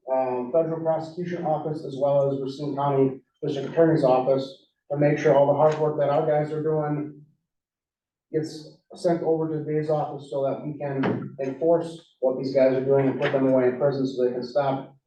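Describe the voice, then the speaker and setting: Man, police sergeant at public meeting, earnest, halting; mellow tone, dull distant room sound. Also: on the high side. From Wisconsin. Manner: earnest, hesitant with many fillers. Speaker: police drug unit sergeant. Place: large town meeting hall. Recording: echoey meeting hall, far mic, dull.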